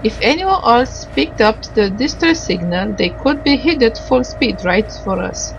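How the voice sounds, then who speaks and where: Slow, higher-pitched woman over background noise. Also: animated, highs cut off. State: tired. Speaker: game streamer doing a playthrough. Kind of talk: casual commentary and reading game dialogue aloud.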